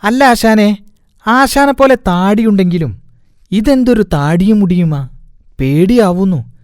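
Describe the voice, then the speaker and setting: A man voicing a sly, fawning animal sidekick in a cartoon, with a wheedling, flattering manner. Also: sits high, measured pace, no room sound, studio quality. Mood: alarmed. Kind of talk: cartoon voice acting.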